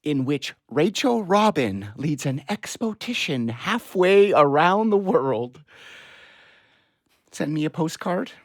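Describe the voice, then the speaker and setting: A middle-aged man playing an anxious, doting father in an audio play, speaking in a fretful, tender, halting way. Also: fairly high pitch, lively intonation, no echo, clean studio sound. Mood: fond, fretful. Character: anxious, doting father fretting over his grown daughter.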